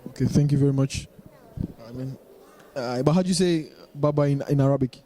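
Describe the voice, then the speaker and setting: A man's voice: medium pitch, brisk, dark tone. A man interviewing people on the street, chatty and upbeat, stumbling over his words and laughing easily.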